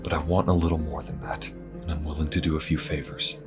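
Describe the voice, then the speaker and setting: Calm, shrewd undercover inquisition adventurer, male actor, extremely low register, measured and conspiratorial, fantasy audio drama. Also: music in the background. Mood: earnest, conspiratorial. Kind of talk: fantasy audio roleplay, intimate conversational acting.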